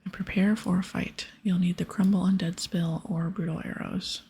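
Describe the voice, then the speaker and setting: A woman narrates gameplay in a hushed ASMR whisper. Her voice is extremely airy, with a crackly fry at the edges, and she murmurs little asides to herself. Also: fairly low pitch, steady tone, normal speed. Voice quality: whispery, breathy. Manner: soft, murmured asides to self. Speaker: game streamer reading quest dialogue.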